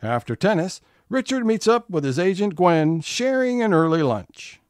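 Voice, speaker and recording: A man's voice: high-pitched, sing-song, moderate pace, clear, resonant tone, no echo, clean studio sound. A man narrating scene changes in a scripted audio theatre comedy.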